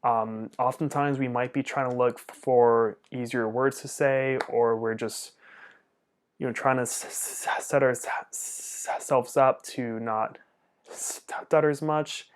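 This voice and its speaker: A man's voice: medium pitch, steady tone, slow, clean tone. A young man who stutters noticeably, with repeated first sounds, answering viewer questions about stuttering in a casual monologue.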